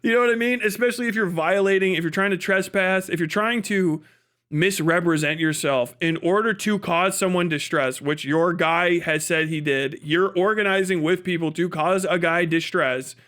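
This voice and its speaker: Male streamer, high voice, profane and opinionated, casual emphatic commentary. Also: normal speed, fairly bright tone, smooth. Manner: profane, emphatic, opinionated, repeats words for stress. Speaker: livestream host.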